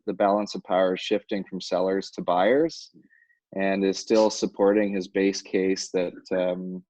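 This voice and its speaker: Guy on a muffled conference call, deep voice, lots of uhs and restarts. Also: a little mellow, no room sound. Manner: halting, many fillers and restarts. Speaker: financial advisor. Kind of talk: conference call market update. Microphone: phone or conference line. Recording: dull lo-fi conference call audio.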